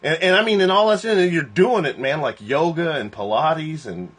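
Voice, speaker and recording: A man in his forties hosting a casual joking livestream chat, rambling and laughing as he talks. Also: normal speed. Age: 40s. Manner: rambling, laughing, lively swings in pitch. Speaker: host of a livestream. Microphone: phone.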